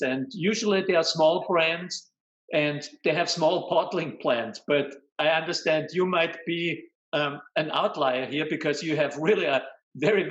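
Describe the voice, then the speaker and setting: A man's voice: on the high side, fairly bright tone. Austrian-accented older man, dull roomy sound. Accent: Austrian German. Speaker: podcast co-host. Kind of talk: casual chat. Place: room with shelves of bottles. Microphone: video call. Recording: dull roomy video call.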